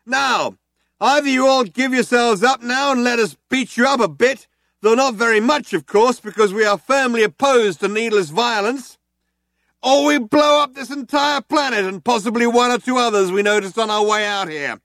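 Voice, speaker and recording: A man doing a pompous shouting space cop. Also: extremely high pitch, normal speed, dry recording, pitched above the performer's own voice. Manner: preachy, self-righteous, shouting. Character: pompous male alien cop with loud hailer.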